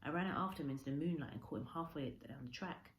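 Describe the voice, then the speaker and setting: Low-voiced woman reading a story, echoey room. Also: fast talker. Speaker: teacher reading a story to pupils. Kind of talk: expressive storybook narration. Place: indoor room with a window. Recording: echoey room with faint background noise.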